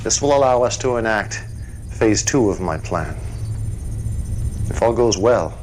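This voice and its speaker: An actor playing a messianic, menacing yet fatherly cult leader in a game cutscene, with a pompous, measured delivery like a preacher's. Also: lowish voice, expressive, darkish tone, a little hiss or hum, poor audio. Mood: calm, conspiratorial. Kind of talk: live-action game cutscene acting.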